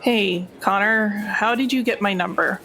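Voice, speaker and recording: A woman's voice: mid-range voice, unhurried, sharp tone, low-quality recording. A woman playing a tabletop role-playing game, improvising casual dialogue in a wry, slightly hesitant way. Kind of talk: casual in-character improvised chat.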